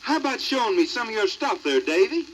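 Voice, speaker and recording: Folksy, animated American backwoods frontiersman. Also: high-pitched, fast talker. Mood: amiable. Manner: folksy drawling, unflappable good humor. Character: big, easygoing backwoods frontiersman in coonskin cap. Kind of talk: 1950s children's record voice acting. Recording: old 78rpm record, light hiss.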